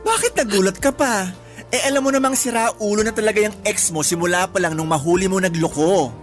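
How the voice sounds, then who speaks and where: A woman's voice: medium pitch, fairly quick, soft background music, close to an everyday voice. An actress playing a sassy, blunt female best friend in a radio drama, colloquial and outspoken. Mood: scornful, blunt.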